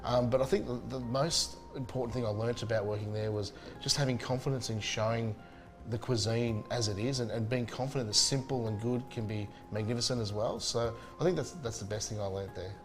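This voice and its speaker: A man's voice: medium pitch, brisk, over music. A man, a chef chatting casually and enthusiastically in an interview, with many fillers and restarts. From Spain.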